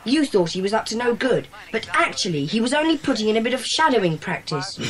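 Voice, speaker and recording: A woman's voice: a little high, sing-song, fast talker, a crowd in the background, low-quality recording. A woman playing a confident, decisive schoolboy leader with a well-spoken British accent in a children's audio drama. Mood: earnest, insistent.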